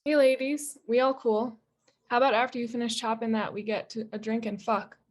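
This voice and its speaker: Cocky street hustler, voiced by a woman: raised pitch, brash, casual, flirtatious. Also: fairly even intonation, a little fast, lightly acted. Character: cocky, flirtatious small-time pimp and drug runner. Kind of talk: dramatic screenplay table read.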